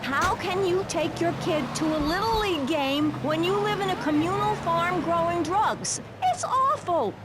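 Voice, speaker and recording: Shrill-pitched, self-righteous suburban mom on satirical radio. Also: female voice, animated, moderate pace, few pauses. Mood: scornful. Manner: ranting, self-righteous, easily flustered. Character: judgmental, fuming, brittle suburban mother on satirical talk radio. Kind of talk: satirical radio panel acting. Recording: loud street and car noise behind.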